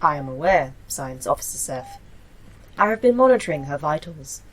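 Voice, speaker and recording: A calm ai lady, really low voice, formal and matter of fact, sci-fi drama. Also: moderate pace, a little hiss or hum, low-quality recording. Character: composed, formal female artificial intelligence monitoring patients. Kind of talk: audio drama acting.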